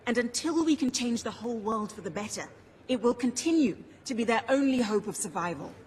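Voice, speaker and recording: An actress voicing an earnest, principled champion of the poor in a video game, formal, impassioned and pleading. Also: female voice, on the high side, expressive, a little fast, a little mellow, lightly acted. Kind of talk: scripted video game dialogue.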